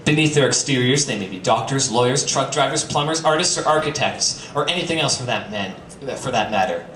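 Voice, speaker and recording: A man's voice: mid-range voice, expressive, brisk, fairly bright tone, recorded from a distance. A man doing a comedic live reading for an audience, theatrical, with dry joking asides. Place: bistro live show with audience. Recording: echoey, noisy live stream from off mic.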